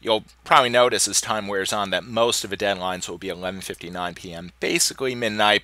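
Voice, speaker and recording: Guy with a cold, stuffy and a little hoarse, lowish but bright voice, dry close sound, easygoing course walkthrough with lots of uhs. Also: no echo. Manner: relaxed, conversational, with frequent "uh" restarts. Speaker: online course instructor. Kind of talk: screen-recorded course walkthrough. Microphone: computer mic, voice-over of screen capture.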